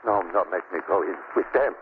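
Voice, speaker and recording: An actor playing a fearful, servile henchman who speaks halting broken English in an old radio horror drama. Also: low-pitched, close to an everyday voice. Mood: frightened, pleading. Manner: halting, simple-minded, pleading. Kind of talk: 1940s radio horror acting. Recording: muffled, noisy old radio.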